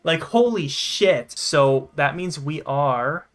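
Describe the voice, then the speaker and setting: A young man giving excitable, sweary, casual commentary as he plays a game. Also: on the high side, slow pace. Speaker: gaming channel host.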